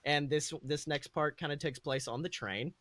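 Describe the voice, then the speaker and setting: A man presents fan fiction on a podcast. His voice is high and bright, with a dry recorded sound. His delivery is that of a casual storyteller. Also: moderate pace, no room sound. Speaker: podcast host. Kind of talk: reading fan fiction aloud.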